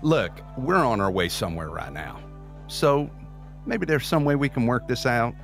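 An actor playing an evasive, smooth-talking man in an audio drama, flippant and wheedling. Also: medium pitch, animated, moderate pace, a bit bright, soft background music. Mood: cocky, wheedling. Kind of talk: scripted audio drama acting.

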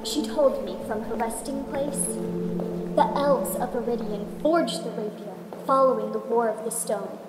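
A woman playing a young, solemn and mysterious dark elf, her words halting and set in long reverb. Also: a little high, over music. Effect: long reverb, remembered-voice echo. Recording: long reverb, loud background ambience.

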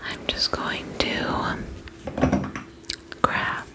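A gentle female vampire spa attendant, played by a woman in an ASMR roleplay. She whispers breathily and takes her time, soothing and attentive as she reassures her client. The delivery is soft and slow throughout. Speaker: ASMR performer.

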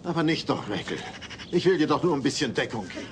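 An actor playing a weary, dismissive husband with dry delivery in a sitcom audio drama. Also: male voice, on the high side, very animated, fast talker. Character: grumbling, weary, long-suffering husband. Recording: noisy old cassette.

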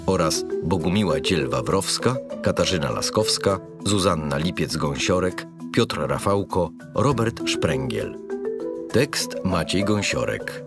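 A man reading scripted end credits as the announcer of an audio play. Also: low voice. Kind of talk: scripted narration.

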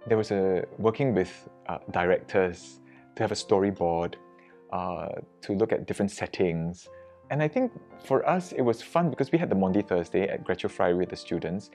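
A man's voice: low-pitched, lively intonation, moderate pace, darkish tone, faint music behind. A man, a friar, speaking in a documentary interview, hesitant with many fillers and restarts.

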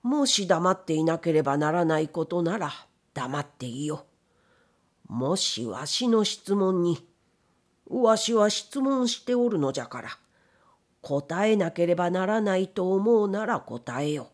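A woman's voice: fairly low pitch, lively intonation, measured pace. A woman voicing a stern, probing old factory owner in an audiobook, with blunt, imperious, old-fashioned speech. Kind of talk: audiobook character reading.

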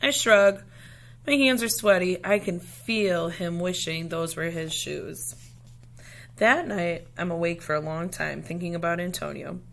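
A woman reading a children's picture book aloud like a teacher, with deliberate, dramatic phrasing. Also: on the low side, gravelly, a bit bright.

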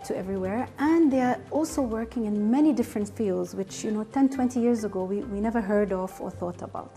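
Mellow, mid-pitched woman's voice. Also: brisk, faint music behind. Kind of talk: interview answers for a promotional film.

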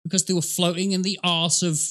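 A man's voice: sits high, flat delivery, fairly quick, fairly bright tone. A man in his thirties giving casual reaction commentary in a sweary, self-mocking way. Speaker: reaction video host, gamer.

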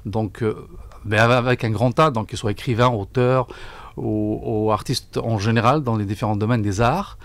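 A middle-aged man giving formal, deliberate answers in a radio interview, often repeating words as he searches for the next. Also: on the low side, fairly even intonation, dry recording. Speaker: book fair coordinator, interview guest. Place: radio studio. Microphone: broadcast microphone on desk stand.

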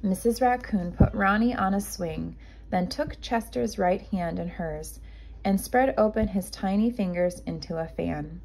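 A woman narrating a children's storybook like a kindergarten teacher, with deliberate, expressive phrasing for young listeners. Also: medium pitch, creaky, slight background noise, low-quality recording. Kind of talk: read-aloud storybook narration.

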